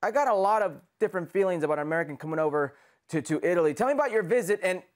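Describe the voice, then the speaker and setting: A man hosting an interview, asking curious questions and thinking out loud as he goes. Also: sits high, animated, racing. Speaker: interview host.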